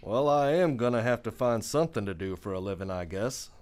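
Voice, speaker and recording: An easygoing, weary former lawman of the Old West, played by a male actor in a radio western. His voice sits at a middle pitch, American and plainspoken, with few words to spare. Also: lively intonation, brisk, a little mellow, close to an everyday voice. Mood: lazy, content. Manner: laconic, plainspoken.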